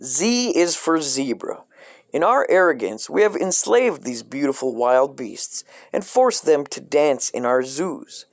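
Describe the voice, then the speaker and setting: Man, deadpan comic reader, swooping lively intonation, dry close sound, middle pitch. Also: a little slow, no echo. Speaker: host reading a coloring book aloud. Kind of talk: comic deadpan reading with profane asides.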